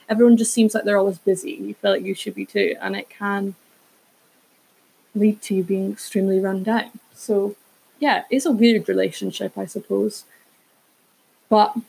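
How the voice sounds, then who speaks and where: A woman's voice: medium pitch, gravelly, a little mellow, breathy edge, rough recording. A woman, chatting casually as a solo podcast host in a rambling way with self-aware asides. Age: 20s.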